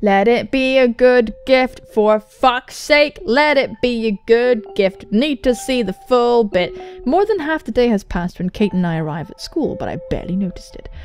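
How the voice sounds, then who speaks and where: A young British woman doing game commentary and reading aloud, excitable and sweary, exclaiming often. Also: on the high side, normal speed. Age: young adult. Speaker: gaming video host. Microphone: close studio mic. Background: music.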